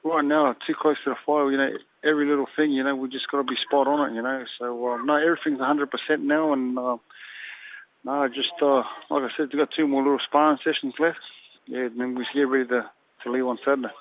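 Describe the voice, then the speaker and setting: A Samoan-born boxer being interviewed, talking haltingly and filling pauses with "you know". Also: male voice, medium pitch, fairly even intonation, slight background noise. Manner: halting, leans heavily on "you know" fillers. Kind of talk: phone interview. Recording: tinny phone line.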